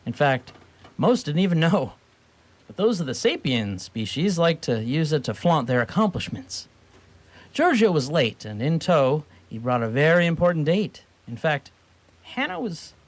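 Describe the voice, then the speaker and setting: A man narrating a scripted comic radio story in a wry, mock-formal way. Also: on the high side.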